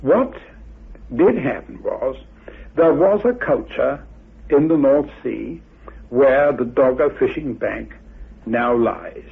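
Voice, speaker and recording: A man gives measured, scholarly answers in a radio interview. His voice is creaky and rough, with polite, formal phrasing. The recording is dull and band-limited. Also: mid-range voice, lively intonation. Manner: courteous, formal, politely dismissive. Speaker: interviewed expert on Atlantis theories.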